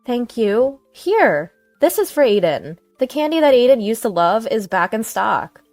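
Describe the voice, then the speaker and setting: A woman's voice: fairly high pitch, sing-song, unhurried, a little mellow, no echo, soft background music. A woman voicing a polite, worried and suspicious grandmother in an audio story, with a concerned, questioning manner. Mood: grateful.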